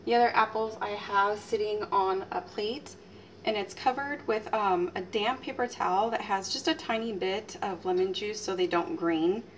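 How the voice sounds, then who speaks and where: A woman in her thirties, chatting casually as she demonstrates a recipe in her home kitchen, teasing herself and letting out lively exclamations. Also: mid-range voice. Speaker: home cooking channel host. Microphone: fixed camera mic at a distance. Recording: distant camera mic with faint room noise.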